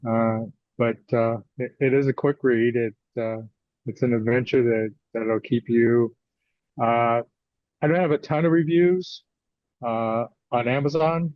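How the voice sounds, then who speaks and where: An American man in his sixties speaking conversationally as an interview guest, often pausing mid-thought. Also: medium pitch, no room sound. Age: 60s. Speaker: guest author. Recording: slightly dull, lo-fi audio.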